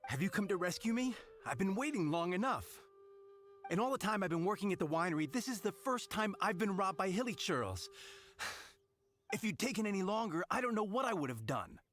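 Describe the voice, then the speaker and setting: A fussy delivery worker in an anime game. The pitch swings widely around a medium level, with faint music underneath. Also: male voice, big pitch swings, a little fast, fairly bright tone. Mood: exasperated. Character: exasperated winery delivery worker, fussy, grateful male adult. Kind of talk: English anime game voice acting.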